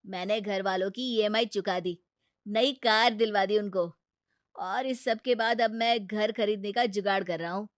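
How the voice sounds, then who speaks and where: A woman's voice: medium pitch, unhurried, no room sound, studio quality, lightly acted. A woman voicing a cheerful, proud young man, a successful brother-in-law, in a playful cartoon-story manner.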